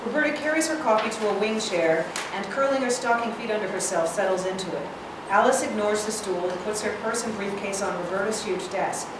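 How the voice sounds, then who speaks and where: A woman's voice: mid-range voice, brisk. Woman reading stage directions, very echoey. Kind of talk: scripted narration, screenplay reading. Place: indoor reading hall, recorded from far off. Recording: echoey room, distant mic, clear noise.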